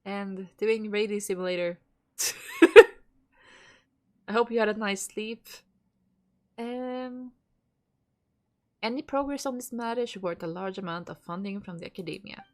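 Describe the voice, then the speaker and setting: A woman's voice: medium pitch, measured pace, long pauses. A woman with a stuffy nose streaming a game, reading its dialogue aloud and chatting casually with viewers, with the odd curse thrown in. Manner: reads lines aloud, swears and laughs between.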